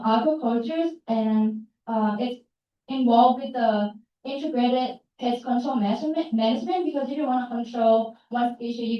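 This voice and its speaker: A young woman presents her research in a large, very echoey hall, caught from a distance on a dull, muffled recording. Her voice sits a bit high, and she talks haltingly, often pausing to find the right words. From Thailand. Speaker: student presenter. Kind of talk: short research talk and Q&A.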